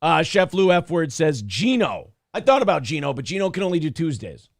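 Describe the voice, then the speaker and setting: A man hosting a radio show, reading out chat messages in a hoarse, raspy voice, rambling with restarts and frequent swearing. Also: a little high, sing-song, brisk, no room sound, clean studio sound. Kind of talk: casual chat.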